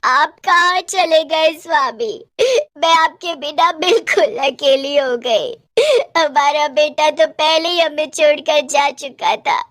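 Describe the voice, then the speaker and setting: A woman's voice: high-pitched, fairly bright tone, no room sound, clean studio sound, pitched above the performer's own voice. A woman voicing a devoted, plaintive elderly village wife in a cartoon ghost story, stretching out her pleas. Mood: tearful, lamenting.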